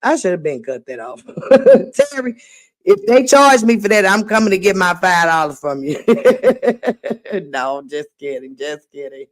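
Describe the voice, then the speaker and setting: A middle-aged woman with a slightly raspy voice, hosting a casual late-night livestream and reading comments aloud in a sassy way. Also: lowish voice, very animated, unhurried, pauses often. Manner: sassy, drawling, reads chat aloud. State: voice getting raspy. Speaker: livestream host.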